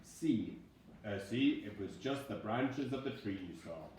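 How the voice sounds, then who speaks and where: A man voicing a gentle, tired father monster in a storybook, growling softly and soothingly. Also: lowish voice, expressive. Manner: soft growling, soothing, drawn-out. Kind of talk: storybook read-aloud character voice. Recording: very echoey room, distant mic, faint noise.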